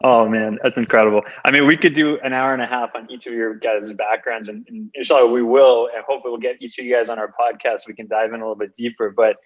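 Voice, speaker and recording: A man's voice: medium pitch, fairly even intonation, fast talker, hardly any pauses. A man moderating a video call panel, friendly and stammering, often repeating words as he poses questions. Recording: slightly dull, muffled sound.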